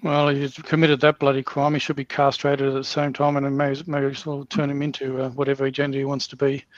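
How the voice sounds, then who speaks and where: A man's voice: medium pitch, monotone, fairly quick, no echo, dull audio. An Australian man hosting a casual news chat over a video call, rambling and repeating himself, often calling his guest mate. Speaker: podcast host.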